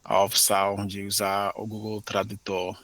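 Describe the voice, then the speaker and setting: Casual: american guy, english native, low voice, pretty flat delivery, stops a lot with little "ah"s, dry close sound. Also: no room sound. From United States (Maryland). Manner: careful learner's speech with frequent "ah" pauses. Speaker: interview guest, Portuguese learner. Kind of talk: podcast interview.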